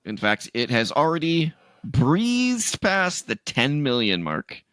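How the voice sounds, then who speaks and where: A man co-hosting a casual gaming podcast, laughing often and reading out news items in a relaxed drawl. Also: fairly high pitch, slow, clean tone, no room sound. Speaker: podcast co-host.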